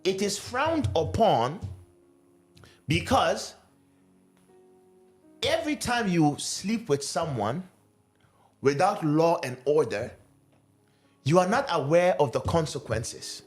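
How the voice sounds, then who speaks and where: A man preaching in an earnest, urgent way, leaning on questions and repeating his words. His voice sits high and rises and falls a great deal. Soft music plays faintly underneath. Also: big pitch swings, slow, pauses often. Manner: earnest, rhetorical questions, repeats key phrases. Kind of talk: sermon.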